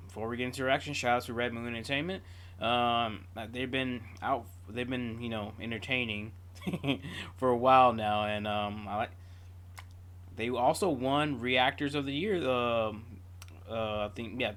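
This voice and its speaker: A man's voice: medium pitch, steady tone, measured pace, fairly bright tone. A man with a mid-range voice talks as the host of a reaction channel. His delivery is casual and unscripted, like someone chatting to his viewers.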